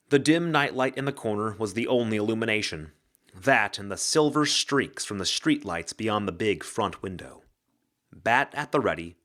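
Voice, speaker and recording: Guy reading a mystery audiobook, lowish voice, dramatic and really animated pitch. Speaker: narrator, actor reading a novel excerpt. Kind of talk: dramatic scripted audiobook narration.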